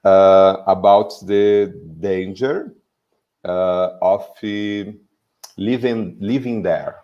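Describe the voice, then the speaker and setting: Very slow, deep-voiced Brazilian man. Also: lo-fi sound. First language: Portuguese. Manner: halting, hesitant with many fillers. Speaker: guest, psychologist. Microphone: video call.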